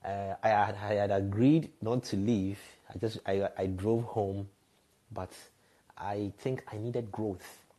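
Man, 30s, low warm voice; reflective, frank guest telling stories. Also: fairly even intonation, slow pace. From Ghana. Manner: reflective, frank, laughs lightly at himself. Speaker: podcast guest, radio and TV presenter. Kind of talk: candid conversational storytelling.

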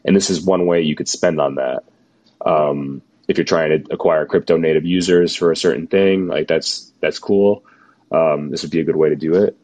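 A man co-hosting a podcast, a little hoarse from being sick, musing skeptically in casual chat. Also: rumbling low, fairly even intonation, moderate pace, darkish tone. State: slightly losing his voice, sick. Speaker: podcast co-host.

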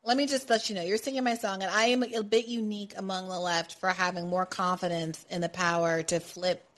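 A woman hosting a political talk podcast, animated and sarcastic, building into quick rants. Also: on the low side. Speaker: podcast host.

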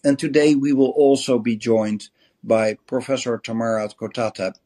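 A man hosting a podcast, introducing his guests and the topic in an earnest, measured way. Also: mid-range voice, steady tone, dark tone. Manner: earnest, measured, with occasional restarts. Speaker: podcast host.

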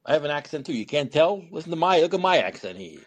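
A man's voice: a little high, very animated, quick pace, husky. A man hosting a call-in talk show, blunt and sarcastic, rambling freely through his opinions in live monologue.

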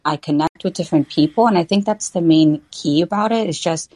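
A young woman chats as a podcast guest, her voice low for a woman. She talks in a bubbly, informal way, with lots of "like" and quick asides. The sound is dry and close to the mic. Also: darkish tone, no echo. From Florida. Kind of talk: casual interview chat.

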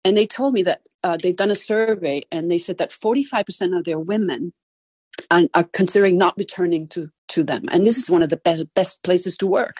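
A woman with a Danish accent talks briskly through a call-in panel, her voice slightly husky. The sound is dry and close, with no room around it. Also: on the low side, a little mellow, dry recording. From Denmark. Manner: brisk, frequent "right?" tags. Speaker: gender equality consultant. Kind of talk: casual panel discussion.

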